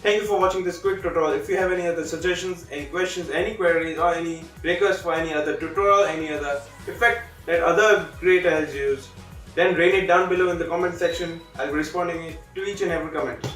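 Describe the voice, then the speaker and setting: A man with an Indian accent narrating a step-by-step software tutorial as its host, in a scripted style. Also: a little high, fairly even intonation, fast, faint music behind, low-quality recording.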